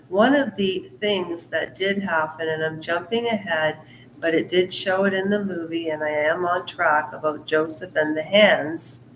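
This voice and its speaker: A woman reads from a book at an unhurried, measured pace, her voice sitting a little low. She keeps breaking off into chatty, rambling asides before going back to the text. The room around her is echoey, with a faint hiss underneath. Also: fairly bright tone. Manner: rambling asides that interrupt the reading; slow, deliberate delivery. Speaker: channel host reading a book aloud. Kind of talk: book reading mixed with casual commentary.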